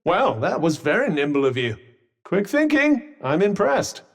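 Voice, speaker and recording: A sarcastic talking bracelet. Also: male voice, high voice, big pitch swings, measured pace, big-room sound, exaggerated voice. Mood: urgent coaching. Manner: dry, sarcastic quips mixed with urgent warnings. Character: sardonic talking magic bracelet, male, wry mentor. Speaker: voice actor. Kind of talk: video game combat barks.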